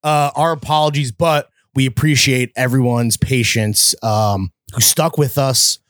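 A man co-hosting a podcast in a laid-back, slangy way, laughing often. His pitch sits in the middle of the usual range. The recording is close and dry. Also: a little slow, a bit bright, no room sound. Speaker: podcast co-host. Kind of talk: casual podcast banter.

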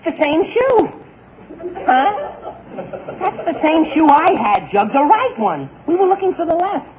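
Excitable, easily flustered schoolboy, 1940s sitcom delivery, thin crackly broadcast recording. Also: male voice, extremely high pitch, a little slow, exaggerated voice. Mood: flustered, exasperated. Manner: excitable, exasperated. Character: flustered, eager teenage schoolboy son. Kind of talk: 1940s radio sitcom acting. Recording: tinny, noisy 1940s radio broadcast.

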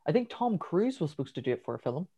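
A man's voice: a little high, big pitch swings, fast talker, dark tone, husky. A man with a Northern Irish accent co-hosting a podcast, chatting casually about a film with lots of ums and the odd swear. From Northern Ireland. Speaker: podcast co-host.

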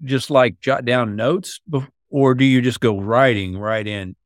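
Man, mid-pitched voice, podcast interviewer, casual, inquisitive, stop-start phrasing, dry close sound. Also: no echo. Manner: curious, halting, rephrases questions mid-sentence.

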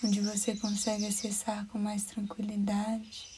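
A Brazilian woman leads a guided meditation at a very unhurried pace. Her delivery is soft and soothing, with phrases trailing into breath. Birdsong and noticeable ambient noise sit behind her voice. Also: medium pitch, monotone. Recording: clear background noise with birdsong. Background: birds chirping, outdoor-like ambience.

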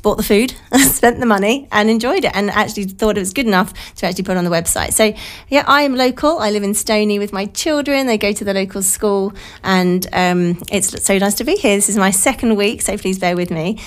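A middle-aged British woman with a croaky, slightly blocked-nose voice from a cold, chatting informally as a radio host in a studio. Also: mid-range voice, brisk. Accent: British English. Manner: informal, self-deprecating, rambling anecdotes. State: has a cold. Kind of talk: casual chatty radio monologue.

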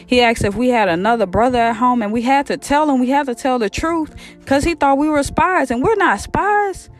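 A woman's voice: on the high side, animated, moderate pace, faint music behind, pitched above the performer's own voice, close to an everyday voice. A woman voicing anxious grown sons who ramble and plead insistently with their old father. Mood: nervous.

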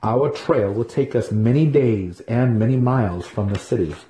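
A man voicing an eager, sly older dark elf brother in a fantasy audiobook, teasing, emphatic and fervent. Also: medium pitch, expressive, moderate pace, a little hiss or hum, natural voice, low-quality recording. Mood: teasing, proud. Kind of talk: dramatic fantasy audiobook character reading.

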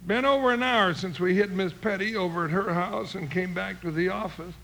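An excitable, loyal Western deputy with a high, gravelly American drawl. He blusters in folksy frontier talk, bright and forceful, in the manner of vintage radio drama. The old recording has some background noise. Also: fairly quick, low-quality recording. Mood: exasperated, folksy.

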